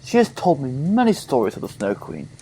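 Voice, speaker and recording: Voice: mid-range voice, wildly swooping pitch, fairly quick, mellow, a little hiss or hum. A performer playing a kindly, loyal, courteous talking reindeer in an audio play. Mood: helpful. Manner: courteous, pleading.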